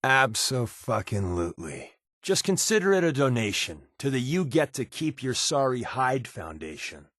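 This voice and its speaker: An actor playing a sardonic, wisecracking undercover resistance agent in a video game, with a casual, slangy, quipping delivery. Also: male voice, medium pitch, sing-song, normal speed, fairly bright tone, no echo, studio quality. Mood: dry, teasing. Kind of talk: video game character voice lines.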